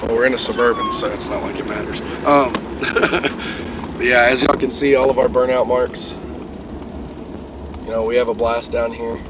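A young man, vlogging loosely and profanely from inside a moving SUV, his voice worn and going hoarse. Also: mid-range voice, steady tone, normal speed, very noisy. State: losing his voice. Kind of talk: casual car vlog. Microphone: phone held at arm's length.

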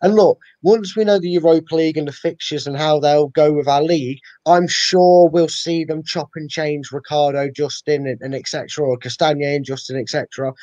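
A man's voice: fairly high pitch, fairly even intonation, fairly quick, hardly any pauses, darkish tone, no echo. A young man giving emphatic opinions in a casual football chat as a fan channel co-host. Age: young adult. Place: living room at home, indoors. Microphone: video call, webcam. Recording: dull lo-fi video call audio.

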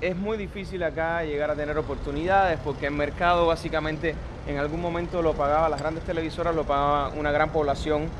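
A man's voice: on the high side, little pitch movement, brisk, few pauses. A man in his thirties with a Cuban Spanish accent, talking in an easygoing, joking way as a guest on a street walk, filmed on a phone on a selfie stick. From Cuba. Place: sunny city sidewalk outdoors. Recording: street traffic in background.

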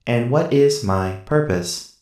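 Companion android, male actor: literal, stiff and courteous, unhurried delivery, sounding distant from the mic. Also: mid-range voice, expressive, darkish tone. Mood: polite, formal. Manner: stiff, formal, cold and robotic. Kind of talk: ASMR sci-fi roleplay. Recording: roomy echo, distant from mic.

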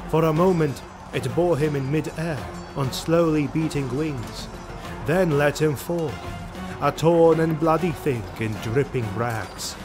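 A man's voice: fairly high pitch, a little slow. A man narrating a fantasy audio drama in a grave, dramatic storytelling manner. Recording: music behind the voice. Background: dramatic music.

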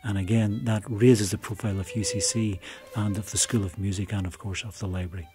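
A man in his forties with an Irish accent, speaking in measured, formal phrases with some hesitation in a documentary interview. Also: on the low side, fairly even intonation, over music. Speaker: university library special collections staff.

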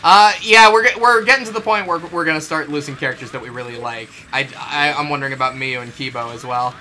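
A man's voice: fairly high pitch, quick pace, sharp tone, slight background noise. A young man chatting casually about a video game as a show co-host, giving his opinions emphatically. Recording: music behind the voice. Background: video game music.

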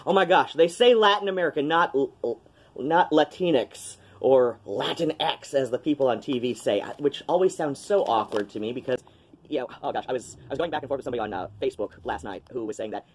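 A man's voice: medium pitch, expressive, brisk, slightly rough. A man chatting casually at home into a webcam during an unboxing, rambling with lots of ums and restarts. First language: English. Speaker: vlogger. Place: small cluttered room at home, indoors.

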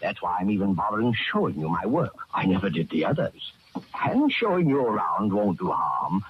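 An actor playing a genteel, menacing killer who gloats with mock courtesy and rambles on, in 1940s radio horror style. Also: male voice, deep voice, very animated, moderate pace. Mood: mock-courteous menace. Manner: rambling, self-satisfied, chuckling, mock-courteous. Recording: dull, noisy old-time radio recording.

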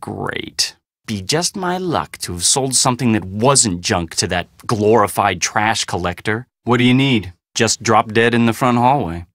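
A young man in a video game, rather low in pitch and recorded dry and close. He is sarcastic and guarded, with a casual, slightly awkward way of talking. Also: expressive, unhurried, a bit bright, no room sound. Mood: sardonic, guarded. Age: young adult. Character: sardonic young man, orphaned heir, weary and guarded. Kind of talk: video game dialogue acting.

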